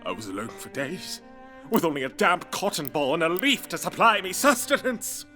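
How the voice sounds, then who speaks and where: A man's voice: on the high side, sing-song, moderate pace, bright, forceful tone, soft background music. A man playing a pompous, wordy, fretful bug scholar in a children's audio drama, with theatrical, pedantic delivery. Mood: solemn.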